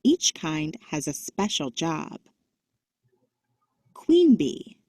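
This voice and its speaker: A woman's voice: low-pitched, big pitch swings, very slow, darkish tone, dry recording, studio quality. A woman reading scripted educational narration for children, carefully enunciating short, simple sentences and terms. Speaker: narrator of a children's nonfiction book.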